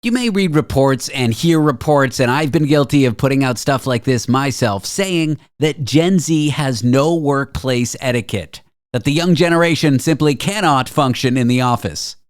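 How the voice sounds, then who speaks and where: A man hosting a radio talk show, asking curious, chatty questions of his guest. He speaks at a middling pitch. The sound is dry and close, with no room echo. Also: normal speed. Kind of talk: radio interview and show links.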